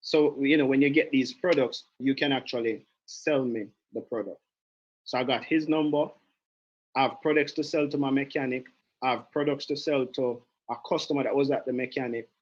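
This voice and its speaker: A man's voice: mid-range voice, steady tone, normal speed, dull audio. A man from Jamaica with a Jamaican accent, leading a chatty sales training on an online call, often repeating himself and drifting off topic. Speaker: sales team leader giving a training.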